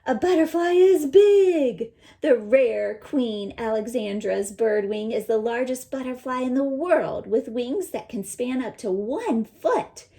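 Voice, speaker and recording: A woman teacher reading a children's picture book aloud and pausing to explain it in simple words. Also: fairly high pitch, lively intonation, warm tone.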